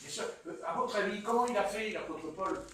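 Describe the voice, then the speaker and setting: A man with a Marseille French accent preaching a sermon in a church hall, telling stories in a playful, animated way. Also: sits high, a little fast, slight background noise. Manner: animated, playful storytelling with chuckles. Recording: echoey hall, mic far off.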